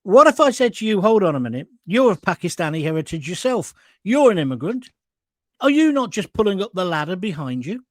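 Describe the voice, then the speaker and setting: A man's voice: high-pitched, sing-song, brisk, dry recording, studio quality. A middle-aged man with an English accent hosting a political interview in a blunt, jokey, challenging way. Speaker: interviewer, host.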